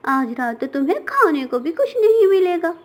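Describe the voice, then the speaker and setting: A taunting young schoolbird from a children's cartoon, acted by a woman. The voice is high and the manner mean and mocking. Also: big pitch swings, moderate pace.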